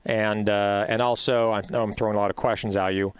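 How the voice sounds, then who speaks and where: A man's voice: on the low side, level tone, fairly quick, smooth. A man hosting a podcast in a studio, chatty and upbeat, with plenty of uhs. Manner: chatty, upbeat, filler-heavy. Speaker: podcast host. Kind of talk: podcast interview.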